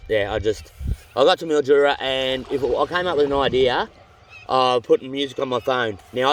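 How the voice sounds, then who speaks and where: A tired Australian man in his middle years talks to his camera out in the bush by a river, and he rambles cheerfully from one thought to the next. His voice sits at an ordinary pitch, a little stuffy from a cold, and faint birdsong and outdoor noise sit behind him. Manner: chatty and rambling, with frequent restarts and slang. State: worn out, with a runny nose. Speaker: vlogger on a long canoe trip. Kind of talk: rambling casual vlog chat. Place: outdoors by a river among rocky cliffs.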